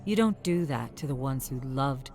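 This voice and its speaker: A woman's voice: very deep voice, lively intonation, moderate pace, a little mellow, faint music behind. A woman reading scripted story narration as a narrator.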